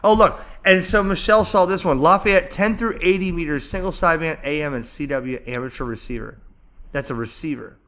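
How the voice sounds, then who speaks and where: A man with a bright, forceful voice pitched a little high. He talks like an enthusiastic hobby vlogger, casual and chatty, rambling along as he thinks out loud. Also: fairly quick. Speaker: amateur radio vlogger and host. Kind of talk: casual enthusiastic vlog commentary, browsing and narrating.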